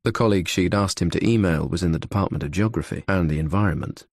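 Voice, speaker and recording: A man's voice: low-pitched, quick pace, no echo, clean studio sound. A man narrating a suspense audiobook in the third person.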